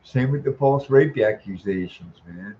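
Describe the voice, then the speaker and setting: A man ranting in a profane monologue, ending sentences with "man," chuckling, and stalling on drawn-out "uh" sounds. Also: lowish voice, expressive, warm tone, dull audio. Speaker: vlogger.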